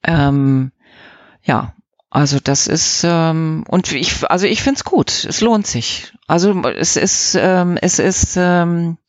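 A woman's voice: fairly low pitch, slow, no echo. A woman speaking casually and enthusiastically as an interview guest, with emphatic phrasing. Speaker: interview guest, audio drama editor.

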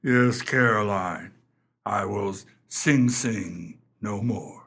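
Man acting very slow, husky convict husband. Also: on the low side, fairly bright tone, exaggerated voice. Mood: solemn. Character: returned convict husband, solemn, tearfully dramatic. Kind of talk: comic radio melodrama acting.

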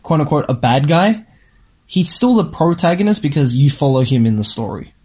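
A man's voice: on the high side, lively intonation, normal speed, a little mellow, slightly rough. A young man co-hosting an anime show, explaining his views in casual, emphatic conversation. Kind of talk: casual chat, discussing anime.

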